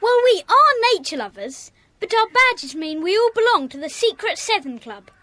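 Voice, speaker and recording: Casting: keen British child for children's audio play, piping very high, animated, forthcoming. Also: female voice, moderate pace, no room sound, full character voice. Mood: eager. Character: eager, forthcoming child in a secret club. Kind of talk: children's audio dramatisation acting.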